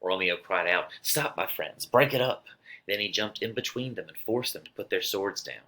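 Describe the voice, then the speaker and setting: A man acts out an earnest young nobleman in a dramatic reading. His voice is deep yet sharp. He declaims sincerely, as a loyal friend would. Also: animated, normal speed.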